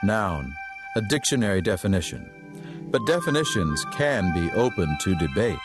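A man's voice: sits low, sing-song, moderate pace. A man acting as a solemn announcer, reciting definitions as scripted narration for an audio drama. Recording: very loud music under the voice. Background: loud music.

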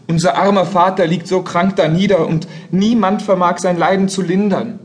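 A boyish, high-pitched prince played by a man frets in a fairy-tale radio play. He is courteous and full of worry. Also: fairly even intonation, moderate pace, close to an everyday voice. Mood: sorrowful. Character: young prince, worried, despairing, polite son.

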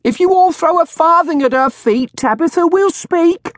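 A man voicing a shrill, bold old woman who hawks her wares to a street crowd in an audiobook. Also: normal speed, no room sound, studio quality. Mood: bold, scolding. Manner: bold, haranguing street-seller's shouting. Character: fat, shrill old tavern woman hawking a talking bird.

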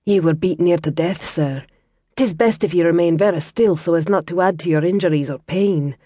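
Brief: woman narrator doing a soft-spoken, sultry Scottish healer lass, gently teasing, hushed and low, storybook read. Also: moderate pace, no room sound, studio quality, lightly acted. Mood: gentle teasing.

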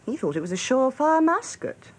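Lively, high-voiced, blunt English office woman. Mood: candid. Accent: upper-class English. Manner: brisk, frank, drily witty. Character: blunt, jolly, slangy female office copywriter. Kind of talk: British radio drama acting.

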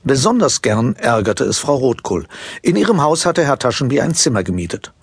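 A man narrating a children's story from a script in an expressive storytelling manner. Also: mid-range voice, fairly quick, husky, dry recording.

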